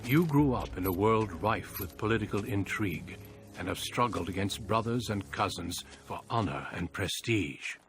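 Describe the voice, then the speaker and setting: An actor playing a solemn warrior who narrates a fantasy game with a grave, measured, portentous delivery. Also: male voice, lowish voice, lively intonation, a little hiss or hum. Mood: measured, stately. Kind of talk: scripted cinematic game narration. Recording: loud music under the voice. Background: loud music.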